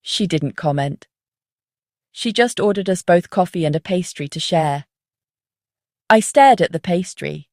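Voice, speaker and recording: A woman narrates a dark psychological story in the first person. Her voice sits on the low side, and the sound is very dry, with no room around it. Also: expressive, measured pace, long pauses, no room sound.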